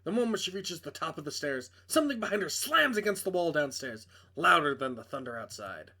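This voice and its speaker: A man's voice: fairly high pitch, big pitch swings, brisk, sharp tone, husky. A man narrating a visual novel aloud in a dramatic read-aloud style as he plays it. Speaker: video game player and narrator.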